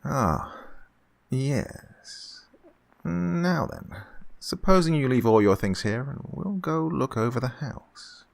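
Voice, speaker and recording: Man acting a gravelly, suave, gloating murderous gentleman. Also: mid-range voice, very animated, slow pace, exaggerated voice. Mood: brisk, ingratiating. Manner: fussy repetition, oily politeness, chuckling menace. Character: suave, gloating male employer who murders his housekeeper. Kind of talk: old-time radio horror acting.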